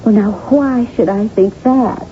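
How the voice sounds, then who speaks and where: An actress playing an easygoing, discreet mistress in a 1980s radio drama, casual, welcoming and frank. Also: female voice, mid-range voice, unhurried, close to an everyday voice. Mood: frank, reassuring. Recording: dull, noisy old radio broadcast.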